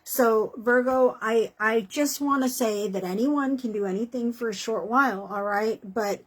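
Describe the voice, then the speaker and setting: A woman reading tarot horoscopes in a casual, encouraging way, her voice worn and hoarse from doing many readings. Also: a little high, rough recording. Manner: chatty, encouraging, many fillers like "you know" and "okay". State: losing her voice. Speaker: tarot reader.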